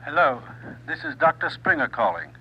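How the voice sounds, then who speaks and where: A man's voice: fairly high pitch, very animated, normal speed, slightly rough, dull audio. An American man playing a polite, guarded doctor, formal and hesitant, through a telephone filter in old radio drama style. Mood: guarded, uneasy. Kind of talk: mid-century radio drama acting.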